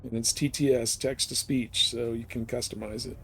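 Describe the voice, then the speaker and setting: A man with a gravelly voice giving casual live commentary as a game streamer. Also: medium pitch, fairly even intonation, moderate pace, fairly bright tone, a little hiss or hum, poor audio.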